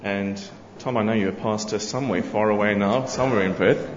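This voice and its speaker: Low-voiced man introducing the next speaker. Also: fairly quick, a crowd in the background. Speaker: service leader. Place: church hall. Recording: echoey hall, dull sound, faint ambience.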